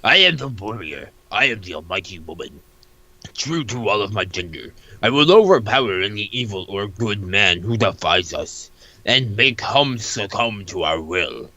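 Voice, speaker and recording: Man playing a bombastic warrior woman, forceful and low. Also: animated, normal speed, no room sound, lo-fi sound, exaggerated voice. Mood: pompous, defiant. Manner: declamatory, pompous. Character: furious, self-proclaimed almighty warrior woman, bombastic comic villainess. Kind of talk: mocking dramatic reading of a webcomic.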